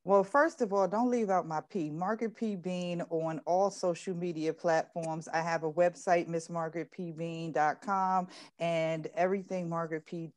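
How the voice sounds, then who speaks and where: A woman's voice: fairly low pitch, steady tone, moderate pace, a little mellow. A middle-aged woman chatting casually and talkatively as an interview guest on a video call. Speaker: interview guest, playwright promoting her play. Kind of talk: casual chat. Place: indoor room at home, on a video call.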